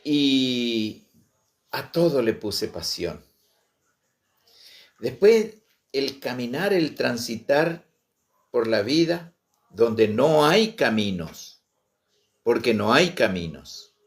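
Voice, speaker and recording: A middle-aged man from Misiones, Argentina, telling his life story to online viewers with passion, slowly and with many pauses and fillers. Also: medium pitch, very animated. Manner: slow, passionate, pausing often with fillers. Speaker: Guaraní language and culture promoter.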